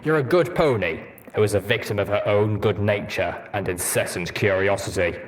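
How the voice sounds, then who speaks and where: A gruff, low-pitched soldier stallion performed by a man from a post-apocalyptic pony audiobook. He is stoic and formal, choosing his words in a measured, blunt manner. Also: close to an everyday voice. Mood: blunt, assessing.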